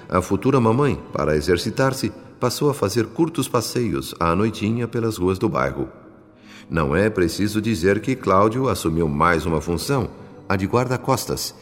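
Brazilian male narrator, solemn literary delivery, scripted radio drama, reverberant sound, faint music bed. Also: deep voice.

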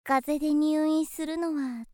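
Very high-pitched, flirty nurse. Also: female voice, fairly even intonation, mellow, dry recording, clean studio sound. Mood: friendly, attentive. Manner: cutesy, teasing, coaxing, unhurried. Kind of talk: Japanese situation voice drama, close one-on-one address.

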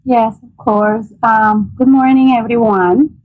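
A woman's voice: on the high side, fairly even intonation, slow, phone-quality sound. A woman in her forties from El Salvador with a Salvadoran accent, answering interview questions earnestly with many ums over a video call. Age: 40s. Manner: earnest, hesitant with frequent ums. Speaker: guest, nonprofit director.

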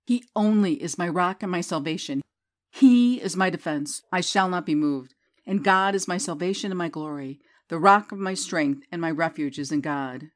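Woman reading a slow guided prayer. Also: fairly low pitch. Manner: slow, soothing recitation. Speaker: prayer leader. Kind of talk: guided scripture meditation.

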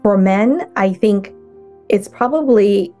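A woman speaking as a guest coach in a casual interview, talking in halting bursts with many restarts. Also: medium pitch, dark tone, faint music behind.

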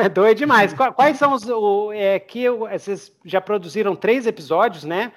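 Brazilian male podcast host, notably high voice, casual and slangy interviewing. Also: normal speed. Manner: informal, slangy.

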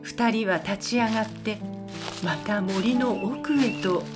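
A woman voicing a calm storyteller, narrating a scripted Japanese audio drama. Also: mid-range voice, slow pace, music in the background, natural voice. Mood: calm narration.

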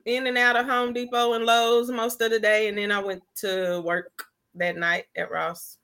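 A woman's voice: fairly high pitch, flat delivery, moderate pace, a bit bright, clean tone. A woman guest on a podcast, telling a candid story in casual chat.

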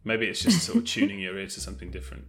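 A man with a fairly low voice speaks as an interview guest. His talk is casual, informal and light-hearted. Faint music plays behind him. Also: wildly swooping pitch, very fast, fairly bright tone. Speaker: podcast guest, audio drama producer.

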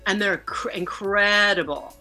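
A middle-aged woman from Canada, a guest chatting casually on a video call podcast in a lively, animated way. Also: mid-range voice, unhurried, faint music behind. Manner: lively, laughing, animated storyteller. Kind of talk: casual interview chat.

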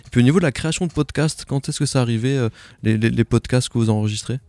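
A man's voice: mid-range voice, fast, a little mellow, dry recording. A man hosting a live radio interview in a studio, casual and informal. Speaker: radio host.

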